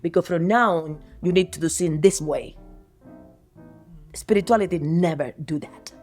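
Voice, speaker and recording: A woman's voice: fairly low pitch, normal speed, a little mellow, soft background music. A woman in her sixties with a Cuban Spanish accent, a Spanish speaker, talking earnestly in an interview and often restarting as she searches for words. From Cuba. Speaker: guest artist. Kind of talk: reflective interview talk.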